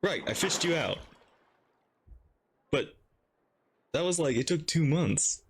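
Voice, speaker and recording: Low-pitched, lively woman reacting to a game. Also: slow pace, a little hiss or hum. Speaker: reaction streamer playing a game. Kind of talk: casual live game reaction commentary.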